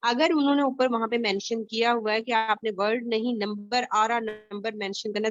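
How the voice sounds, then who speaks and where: A woman's voice: fairly high pitch, no room sound. Woman teacher on a dull online call. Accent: Pakistani. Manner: explains patiently, many fillers. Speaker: IELTS teacher. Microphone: video call.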